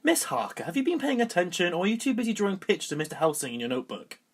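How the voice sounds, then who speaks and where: A woman playing a strict, sharp-tongued schoolteacher in an amateur audio drama. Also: lowish voice, big pitch swings, rapid-fire. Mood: scolding. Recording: clearly noisy hobbyist recording.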